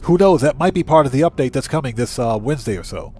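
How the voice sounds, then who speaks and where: A man's voice: mid-range voice, expressive, quick pace, dark tone, faint music behind. A man hosting a livestream, chattily thinking aloud as he gives casual video game commentary and talks to viewers. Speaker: livestream host.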